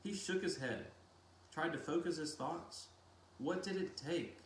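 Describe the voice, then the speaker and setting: A man narrates a novel in a slightly high voice. He takes his time, phrasing dramatically with deliberate pauses. The room adds a clear echo to his reading. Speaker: audiobook-style reader. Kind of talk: expressive storybook narration of a novel. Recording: roomy echo with faint hiss.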